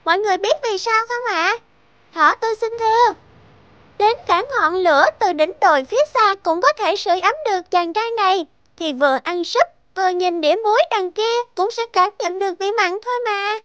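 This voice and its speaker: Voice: very high-pitched, moderate pace, no echo, dull audio. An actor voicing a clever, confident little rabbit judge in a children's fairy-tale cartoon, speaking in a reassuring, articulate way. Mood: assured, pointed. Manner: self-assured, reassuring, articulate. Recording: loud music under the voice.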